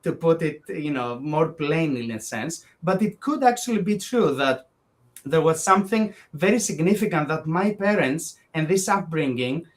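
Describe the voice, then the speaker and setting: A man's voice: a little high, animated, normal speed. A man from Greece with a Greek accent, speaking as an interview guest in long, earnest, winding sentences that often restart. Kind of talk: conversational interview.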